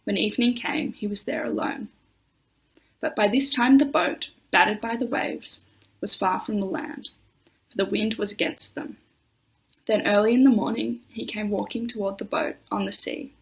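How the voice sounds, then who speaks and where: A woman reading scripture aloud in a measured, solemn way during a church service. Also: mid-range voice, steady tone, poor audio. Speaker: scripture reader.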